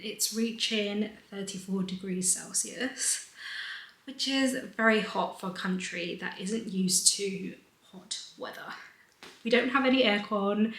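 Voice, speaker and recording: A young British woman chatting breezily to camera, a little hoarse, her words rambling and sometimes dropping into a half-whisper. The sound bounces around an echoey room. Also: medium pitch, fairly bright tone. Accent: British English. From United Kingdom. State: slightly hoarse. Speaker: vlogger. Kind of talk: casual chatty vlog.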